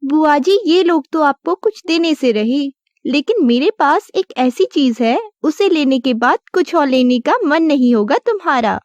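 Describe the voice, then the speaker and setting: Woman as high-voiced, childlike young daughter. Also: animated, unhurried, a little mellow, dry recording. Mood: coy. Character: sweet, obedient young marriage-age daughter. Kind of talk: animated moral-story dialogue.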